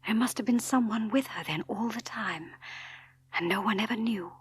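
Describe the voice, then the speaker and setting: A woman's voice: on the high side, moderate pace, slightly rough, voice pushed up. A woman voicing a timid, devoted young wife in an audiobook, pleading and tremulous, with an English accent. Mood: anxious pleading. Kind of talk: dramatic audiobook character reading.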